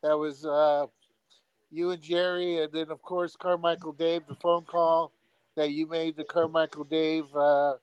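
A male caller stumbles along, repeating words and leaning on fillers. His voice is smooth and sits a little high. The audio is dry and somewhat dull. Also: little pitch movement, unhurried, no room sound. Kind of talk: phone-in chat.

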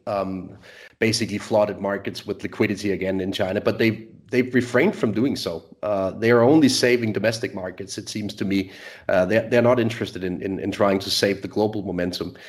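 A man from Denmark, a market strategist, speaking with many hesitations and chuckling asides. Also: fairly low pitch. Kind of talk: conversational market discussion.

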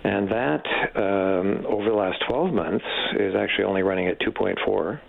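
A man with a deep, gravelly voice speaks as an expert guest on the radio. His phrasing is careful and halting, and the audio is slightly dull. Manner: measured, halting, hesitant phrasing. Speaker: economics professor, interview guest. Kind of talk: expert commentary in a radio interview.

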